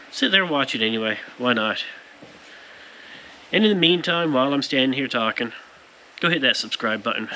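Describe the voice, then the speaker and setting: A man's voice: a little high, pushed and bright, a little hiss or hum, low-quality recording. A man hosting a casual workshop vlog, speaking to viewers in an informal, folksy way. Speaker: host of a powder coating workshop video. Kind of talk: casual to-camera shop vlog.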